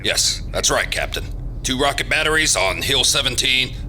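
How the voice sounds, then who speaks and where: Man playing a curt colonel, widely varied pitch. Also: lively intonation, normal speed, lightly acted. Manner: curt, authoritative military orders. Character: crisp, confident male Marine colonel commanding a battle post. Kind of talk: radio drama acting. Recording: radio play with noisy background.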